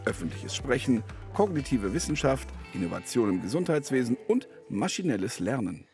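A man narrates a news feature in a polished voice-over, his pitch moving with a lively lilt. A clear music bed plays under his words. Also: normal speed. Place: studio voice-over.

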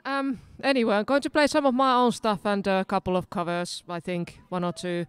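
A woman's voice: medium pitch, fairly even intonation, a little fast, clean tone, no echo. A woman from Finland with a Finnish accent, chatting casually and self-deprecatingly to a pub garden crowd between songs at an open mic, on a stand mic. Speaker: singer-pianist. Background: live keyboard.

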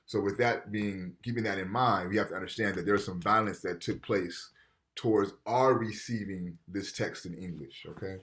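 A man with a fairly low voice gives a relaxed college lecture from home over a webcam. He reads passages aloud, then breaks them down in plain, conversational talk. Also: normal speed. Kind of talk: casual lecture over video call. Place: home room indoors. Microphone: video call webcam.

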